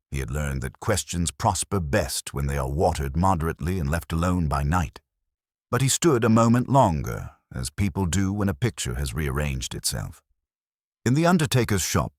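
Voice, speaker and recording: A man reading a literary detective story as narrator, with a measured, dry and formal delivery. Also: deep voice, no echo. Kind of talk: scripted literary audiobook narration.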